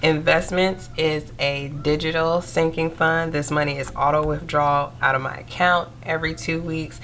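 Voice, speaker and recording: A young woman with a stuffy nose from a cold, chatting casually to viewers while counting out cash in a steady murmur. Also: sits low, normal speed, a little hiss or hum. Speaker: budgeting vlogger.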